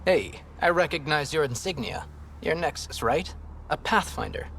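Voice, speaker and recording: An actor playing an earnest, troubled space-station security officer in a video game. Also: male voice, fairly high pitch, lively intonation, normal speed, vocal fry, background noise. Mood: earnest, bitter.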